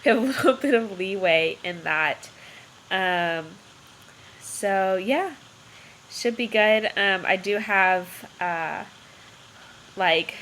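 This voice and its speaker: A woman's voice: mid-range voice, bright, forceful tone, a little hiss or hum, rough recording. A young woman vlogging casually from her bed, nasal and stuffy from a cold, chatty and rambling with frequent laughs, recorded on a laptop mic. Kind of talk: casual pregnancy update vlog. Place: bedroom, indoors. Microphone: built-in computer mic.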